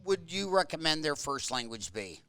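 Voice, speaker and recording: A man hosting a conversational podcast interview, putting his questions in a halting way with repeated restarts. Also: fairly high pitch, sing-song, fairly bright tone, no room sound.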